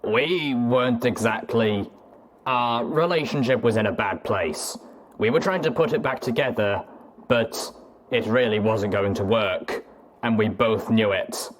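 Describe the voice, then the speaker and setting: A man playing a gruff, stoic, weary soldier pony with a slow, measured delivery, for a story reading. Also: medium pitch, natural voice. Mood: resigned, regretful. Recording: clearly noisy track with clicks.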